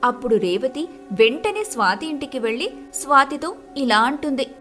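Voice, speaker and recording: A woman's voice: sits high, expressive, moderate pace, a bit bright, soft background music. A woman narrator reading a scripted moral tale in storytelling style.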